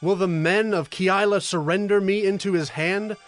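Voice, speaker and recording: Man as high-voiced, devout warrior leader. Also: fairly even intonation, moderate pace, soft background music, lightly acted. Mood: pleading, devout. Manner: earnest, solemn, projected. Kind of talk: dramatized Bible audio acting.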